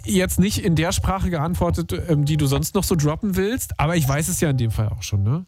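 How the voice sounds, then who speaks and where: A man's voice: fairly high pitch, animated, fairly quick, darkish tone, slightly rough, dry recording. A man hosting a radio call-in show, chatty and upbeat, using youth slang. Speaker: radio host. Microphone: studio microphone.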